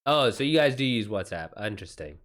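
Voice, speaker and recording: A man's voice: on the low side, expressive, fast talker, fairly bright tone. A man hosting a livestream in a casual, informal style, reacting to chat comments with exclamations and swearing. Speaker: streamer, author hosting a live chat.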